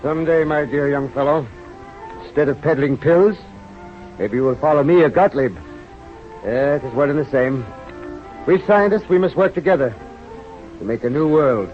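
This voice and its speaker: An actor playing an expansive, talkative, beer-loving scientist with a grandiose manner in a radio drama. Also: male voice, a little high, moderate pace, long pauses, close to an everyday voice. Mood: admiring, grand. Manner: grandiose, exclamatory. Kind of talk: 1930s-40s radio drama acting. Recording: muffled old radio recording, faint music.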